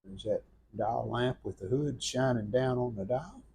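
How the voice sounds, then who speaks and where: A man's voice: medium pitch, measured pace, gravelly, dark tone, a little hiss or hum. A man talking casually through hands-on restoration work, in short, plain-spoken remarks as he goes. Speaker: vlogger restoring an antique radio. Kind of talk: casual hands-on workshop narration.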